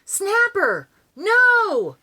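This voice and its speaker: A woman's voice: very high voice, huge pitch swings, extremely slow, sharp tone, voice pushed up, exaggerated voice. A woman voicing an earnest, eager pet dog in a children's storybook. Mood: alarmed.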